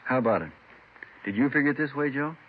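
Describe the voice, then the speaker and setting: An actor playing a steady, matter-of-fact police detective partner in an old radio crime drama. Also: male voice, medium pitch, quick pace, close to an everyday voice. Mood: calm. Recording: tinny, noisy old radio recording.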